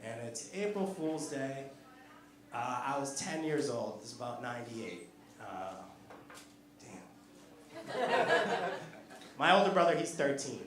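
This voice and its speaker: American man, 30s, stand-up comedian, lively chatty delivery, roomy club, loud audience. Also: mid-range voice, takes it slowly, pauses often. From United States. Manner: animated, chatty, teasing the audience. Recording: echoey club room with audience laughter.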